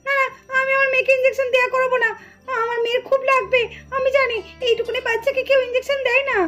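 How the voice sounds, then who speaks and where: Tearful cartoon mother doll, extremely high voice, wailing, pleading, melodramatic, music behind. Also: little pitch movement, fast talker, sharp tone. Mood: protesting. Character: anxious tearful young mother doll, pleading for her baby. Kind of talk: melodramatic Bengali puppet cartoon acting.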